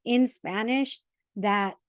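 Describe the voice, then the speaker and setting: Woman podcast host, lively storyteller, slightly high voice, dry sound. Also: slow, smooth, no echo. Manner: lively storyteller, mixes in Spanish words. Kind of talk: casual interview chat.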